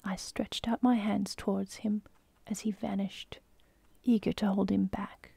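A devoted wife mourns her drowned husband in a slow, hushed whisper, as a woman puts her on. The voice is husky and gravelly, with a mellow tone. It is dry and close, as in a storybook reading. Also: medium pitch, little pitch movement, no echo, full character voice. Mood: grief-stricken. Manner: soft, slow unvoiced whisper. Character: grieving young wife, desperate and devoted.

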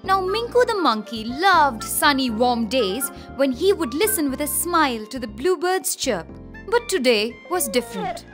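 A woman narrating a scripted children's story in an expressive, theatrical manner. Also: sits high, measured pace. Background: children's music.

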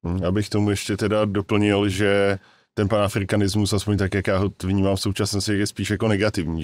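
A man speaking as an expert guest in a studio panel, measured, adding to others' points. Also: on the low side, dry recording. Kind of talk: panel discussion. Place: small studio with wooden table. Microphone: desk mic on boom arm.

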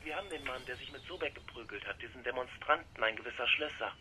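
An actor playing a brisk male police detective reporting in, in a radio crime drama. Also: medium pitch, pushed and bright, slight background noise. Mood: businesslike.

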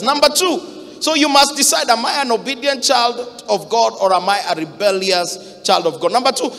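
A man in his thirties with a Kenyan accent, preaching a fiery sermon into a handheld mic in a church hall, shouting for emphasis. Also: very high-pitched. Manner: fiery, shouting emphasis, mixes Swahili quotes.

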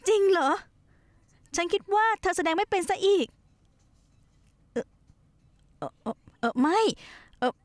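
A pushy, scheming young wife. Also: female voice, extremely high pitch, lively intonation, a little slow, pauses often, no echo. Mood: coaxing. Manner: coaxing, pushy, manipulative. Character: scheming young wife plotting to run away. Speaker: scheming wife in a dubbed drama. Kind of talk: dubbed television drama acting.

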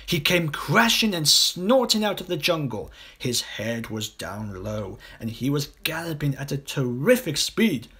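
Slow, expressive male storybook narrator. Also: a little high, slightly rough. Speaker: audiobook reader.

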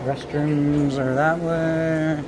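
A man's voice: a little high, very slow. A man casually narrating to a phone camera as he walks through a large department store, asking short plain questions. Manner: relaxed, plain-spoken, asks short questions. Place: large indoor department store in a shopping mall. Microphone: handheld phone camera. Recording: busy store noise behind.

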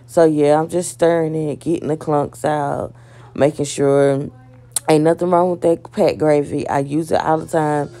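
Woman, low voice, gravelly edge, relaxed home cooking chat. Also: a little slow. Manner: relaxed, chatty, talks viewers through steps. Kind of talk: casual cooking vlog, voice-over narration. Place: home kitchen.

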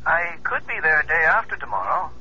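A man's voice: a little high, big pitch swings, a little fast, bright, forceful tone, exaggerated voice. A man playing a formal, businesslike psychic investigator through a telephone filter, in vintage radio drama. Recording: thin, noisy telephone line on radio.

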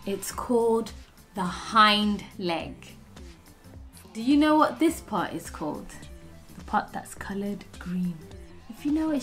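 A young woman presenting a children's lesson, animated and encouraging, speaking clearly for small children. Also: on the high side. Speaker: early years teacher and host. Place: small room with plain walls, indoors.

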